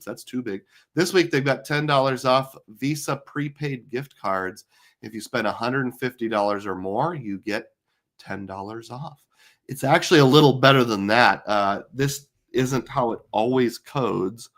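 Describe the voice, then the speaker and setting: Hoarse, tired man hosting. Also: mid-range voice, fairly bright tone. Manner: chatty, rambling with many fillers. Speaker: livestream host. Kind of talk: casual live chat reading comments.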